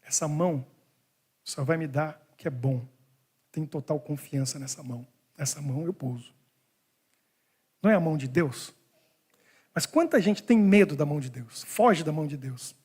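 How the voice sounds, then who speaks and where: A man's voice: a little high, normal speed, pauses often, darkish tone, husky. A man preaching a sermon as pastor, talking to his congregation in a conversational way. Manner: conversational, talks to the congregation directly. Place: church hall in Brussels.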